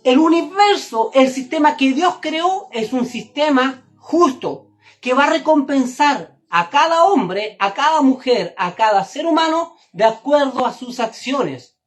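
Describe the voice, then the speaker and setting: High-pitched woman preaching emphatically. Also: animated, unhurried, faint music behind. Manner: emphatic, didactic, repeats phrases for stress. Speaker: religious teacher. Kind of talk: Torah and Kabbalah sermon, direct address to listener.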